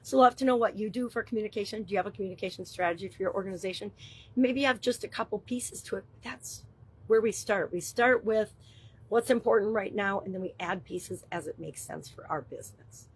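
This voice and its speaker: A middle-aged woman with a hoarse, gravelly voice, casually giving a daily business tip as host, chatty with filler words. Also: mid-range voice, fairly quick, low-quality recording. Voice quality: husky. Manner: upbeat, chatty, thinks aloud with fillers. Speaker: business coach and show host. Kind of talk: daily business tips monologue.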